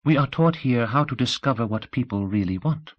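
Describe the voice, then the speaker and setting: A blunt, shrewd young woman, poised and softly spoken, who gets straight to the point, put on by a man reading an audiobook. Her voice sits well above the reader's own deep tone. Her questions are pointed and inquisitive. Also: lively intonation, a little fast, highs cut off, lightly acted. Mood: candid. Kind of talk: audiobook character voice.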